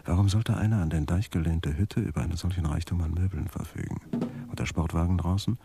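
An actor playing a brooding police detective who mutters terse observations in a radio crime drama. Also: male voice, low-pitched, steady tone, fairly quick, gravelly, very noisy. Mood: brooding, pondering.

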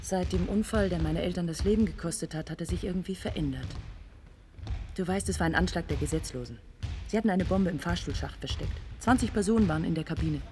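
A woman's voice: fairly low pitch, fast talker, dark tone, faint music behind, lightly acted. An actress playing a modest, matter-of-fact young woman in a German radio play, with a plain, reflective delivery. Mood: reflective, resigned. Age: young adult.

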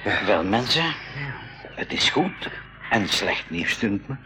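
An actor playing a polite, earnest expedition leader in a school radio drama, courteous and deliberate. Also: male voice, on the low side, big pitch swings, noisy background. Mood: concerned. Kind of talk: 1980s Dutch-language school radio drama acting.

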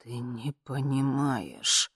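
A woman's voice: a bass voice, extremely slow, slightly rough, no room sound, clean studio sound, exaggerated voice. An anxious man on the edge of panic, performed by a woman narrator in an audiobook. The words come trembling and drawn out, strained with exasperation. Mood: shaky dread.

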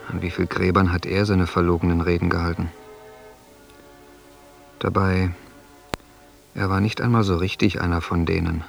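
A man's voice: low-pitched, gravelly. An actor in a radio drama playing a bitter son brooding with contempt over his late father's circles. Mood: reflective. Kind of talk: radio drama interior monologue.

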